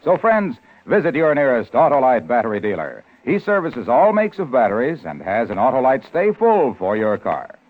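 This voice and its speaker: A man's voice: mid-range voice, wildly swooping pitch, moderate pace, few pauses. A man working as a sponsor announcer, reading a 1950s radio commercial with emphatic, polished delivery. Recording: tinny narrow-band 1950s radio.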